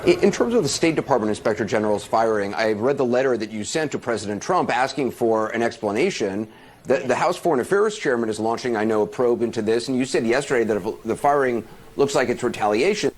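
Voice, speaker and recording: A man interviewing a politician on television news, with brisk, formal questions. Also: mid-range voice, expressive.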